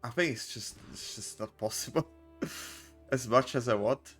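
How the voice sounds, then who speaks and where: Male streamer with a non-native accent, relaxed gameplay talk with faint music under it. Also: mid-range voice, measured pace. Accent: unplaced non-native accent. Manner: chatty, loose, with many fillers and restarts. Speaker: content creator and streamer. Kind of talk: casual gameplay commentary.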